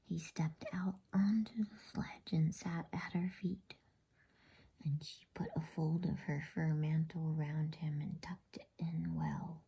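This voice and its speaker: A woman whispering an ASMR bedtime story as a storyteller, with a breathy voice. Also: sits low, steady tone, measured pace, mellow.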